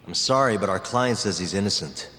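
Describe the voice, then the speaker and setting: An actor playing an earnest, determined young lawyer in a video game. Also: male voice, on the low side, lively intonation, brisk, pushed and bright, slight background noise.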